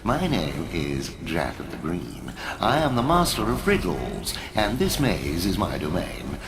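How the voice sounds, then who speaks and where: A very low, unhurried voice for a pompous forest riddle master in a fantasy game. He is sly and grandiose, swooping theatrically across his range as he savours each phrase. There is clear ambient noise behind him. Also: huge pitch swings, exaggerated voice. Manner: pompous, deliberate, theatrical delivery. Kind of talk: video game character acting.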